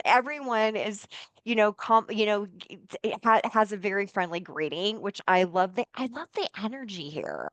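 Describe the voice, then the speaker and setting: A woman's voice: medium pitch, a bit bright, dry recording. A woman therapist from the United States talking casually in an interview, rambling with many fillers and restarts. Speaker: licensed therapist guest.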